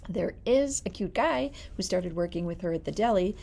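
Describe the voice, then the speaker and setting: Creaky-voiced woman presenting book picks. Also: lowish voice, lively intonation, moderate pace, warm tone. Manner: reads prepared blurbs aloud. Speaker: librarian host. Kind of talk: reading book recommendations, light chat.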